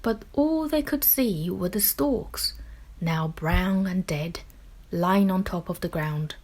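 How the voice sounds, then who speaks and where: A woman narrates a storybook for children at a slow, deliberate pace. Her voice is on the low side and quite husky, with expressive, dramatic phrasing.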